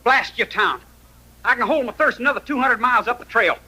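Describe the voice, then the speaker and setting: High, sharp, indignant cowboy. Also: male voice, expressive, brisk, heavily acted, poor audio. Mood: bitter anger. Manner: blunt, accusing. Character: indignant, hot-headed male Texas cowboy trail boss. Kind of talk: 1950s radio western acting.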